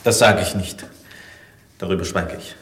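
A stoic, devoted young prisoner with a low voice. He speaks gravely and with measured defiance, in old-fashioned German radio drama style. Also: fairly even intonation, fairly bright tone, slight background noise. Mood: grave, guarded. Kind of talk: 1950s German radio play acting.

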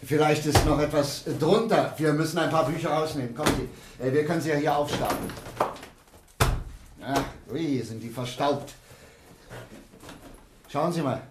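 An actor playing a courteous, inquisitive doctor in a German detective radio play, speaking in a deliberate, thoughtful way. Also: male voice, on the high side, background noise, close to an everyday voice. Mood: brisk, searching. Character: inquisitive, courteous male doctor investigating a mysterious disappearance.

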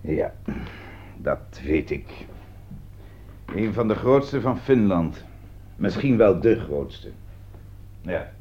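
An actor playing a stern, sarcastic police interrogator with a curt, probing delivery in a radio play. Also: male voice, low voice, very animated, extremely slow, pauses often, slight background noise, heavily acted. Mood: grave, deliberate. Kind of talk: Dutch radio drama dialogue. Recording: dull, band-limited old radio recording.

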